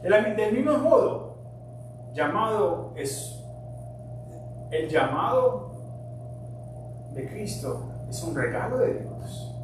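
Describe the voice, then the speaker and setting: A middle-aged man born in Colombia whose first language is English, preaching a sermon earnestly, sometimes forceful and sometimes quiet. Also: high voice, very animated, slow, pauses often. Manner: earnest preaching with shifts between emphatic and quiet. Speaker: pastor. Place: church sanctuary. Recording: very echoey, distant mic, hum.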